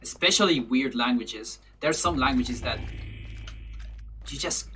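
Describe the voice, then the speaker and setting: Young man, Spanish native speaker, casual streamer chat, bright voice, faint hum behind. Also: mid-range voice, measured pace. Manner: rambling, self-correcting, laughs easily. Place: bedroom, indoors.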